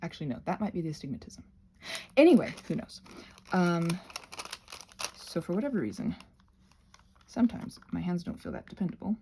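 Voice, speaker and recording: A woman's voice: on the low side, big pitch swings, a little fast, long pauses, warm tone, slight background noise. A woman casually hosting a craft demonstration, talking in a hesitant, meandering way with self-deprecating asides. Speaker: craft channel host.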